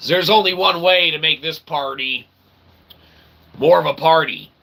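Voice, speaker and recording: Bright, forceful man hosting a jokey show. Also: on the high side, unhurried, creaky. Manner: jokey, theatrical, mock-formal wordy phrasing. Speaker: show host and author. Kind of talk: rambling show sign-off addressed to audience.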